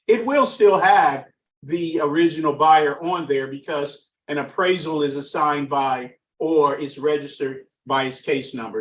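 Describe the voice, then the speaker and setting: A slightly hoarse, froggy-voiced man giving an underwriting training presentation, halting with many fillers and restarts. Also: on the high side, a little hiss or hum. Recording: echoey room, far from mic.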